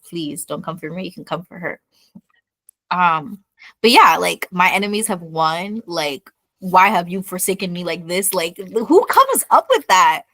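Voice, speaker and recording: A woman's voice: on the low side, sharp tone, no room sound. A young woman co-hosting a podcast in casual chat, laughing easily and swearing casually. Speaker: podcast co-host.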